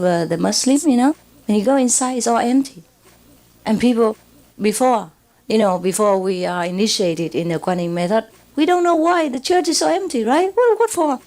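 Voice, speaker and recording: A woman's voice: fairly high pitch, moderate pace, darkish tone. A woman with a Vietnamese accent gives a loose, rambling spiritual talk, often checking in with her listeners and chuckling as she goes. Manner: rambling, with frequent checks like "you know" and "huh?"; chuckles often while talking. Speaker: spiritual teacher. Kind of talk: informal spiritual lecture.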